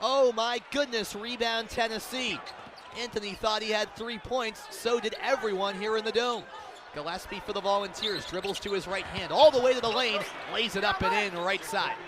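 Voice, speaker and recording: High-pitched man calling live basketball. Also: moderate pace. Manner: excitable, building to loud calls on big plays. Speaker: play-by-play radio announcer. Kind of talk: live basketball radio commentary. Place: courtside in a large indoor arena. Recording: crowd noise, bouncing ball in arena. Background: arena ambience, basketball bounces.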